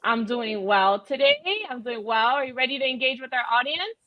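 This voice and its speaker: A woman with a high voice hosts a livestream in a casual, upbeat way. She mixes Spanish phrases into English as she engages her online audience. The sound is dry and somewhat dull, like lo-fi call audio. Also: a little fast, dry recording. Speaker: host of a livestream chat show.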